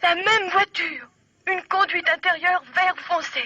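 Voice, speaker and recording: A woman's voice: extremely high pitch, fairly even intonation, measured pace, sharp tone, dry recording, dull audio, exaggerated voice. An actress playing a terrified young mother and wife, pleading with dramatic hesitations, in old French radio mystery drama style. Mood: frightened.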